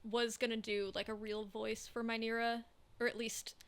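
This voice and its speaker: A woman from Southern California, a podcast co-host, speaks with strong vocal fry and a slightly high voice. She rambles loosely in casual chat and often trails off mid-thought. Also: little pitch movement, moderate pace.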